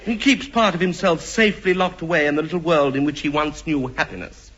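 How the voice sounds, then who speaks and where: An actor playing an earnest, pompous doctor with formal, deliberate phrasing in a 1950s radio comedy. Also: male voice, on the high side, fairly bright tone, husky. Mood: grave, professorial. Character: earnest, pompous male doctor with a childish streak. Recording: noisy, dull old radio recording with clicks.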